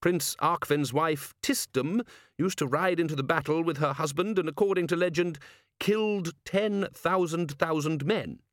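A man voicing an urbane, commanding male city ruler in a measured, formal, deadpan manner for an audiobook. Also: fairly high pitch, dry recording, clean studio sound, voice pushed up, natural voice. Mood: dryly lecturing.

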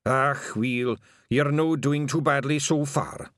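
A man's voice: a little high, animated, normal speed, a bit bright, no room sound, clean studio sound, voice pushed up. A man voicing a tiny, proud fairy clansman who talks bluntly and emphatically with a Scottish accent in an audiobook. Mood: gruffly encouraging.

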